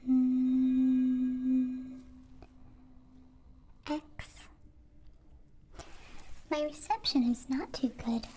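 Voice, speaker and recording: A woman playing a gentle, curious young alien in an ASMR roleplay, mostly whispering in slow, halting, formal phrases. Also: high voice, slight background noise. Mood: thoughtful, hesitant. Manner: slow, halting, formal wording without contractions. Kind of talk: whispered ASMR roleplay, close personal attention.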